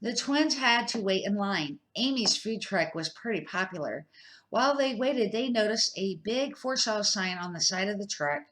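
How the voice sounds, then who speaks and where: A woman's voice: mid-range voice, animated, normal speed, a bit bright. An older woman reading a children's book aloud in an unpolished way, with small slips and restarts. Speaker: storybook reader.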